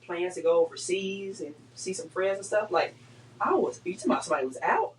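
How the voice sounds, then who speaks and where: Animated man in his thirties, slightly distant. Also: sits high, fairly quick, slight background noise. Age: 30s. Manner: animated storyteller, laughing and teasing. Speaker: podcast co-host. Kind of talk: casual chat.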